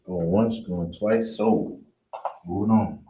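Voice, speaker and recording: A man teaching a live-streamed online language class in a relaxed way, often checking in with "you understand?" and "all right?". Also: on the low side, takes it slowly. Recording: roomy, distant, faint hiss.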